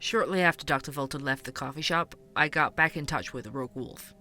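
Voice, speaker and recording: Investigative podcast host, fairly high voice, crisp bright tone, conversational, wry, fictional podcast. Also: male voice, fairly quick, soft background music. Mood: wry, candid. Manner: conversational, wry, hesitant asides. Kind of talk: fictional podcast narration and dialogue.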